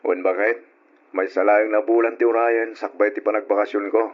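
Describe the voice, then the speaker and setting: A man's voice: lowish voice, level tone, fairly quick, close to an everyday voice. An actor playing a doting young seaman husband and new father, exuberant and teasing, with a Filipino accent, in an old-style radio drama. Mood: tender, homesick. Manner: exuberant, teasing, given to whooping and chuckling. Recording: dull, muffled old radio drama recording.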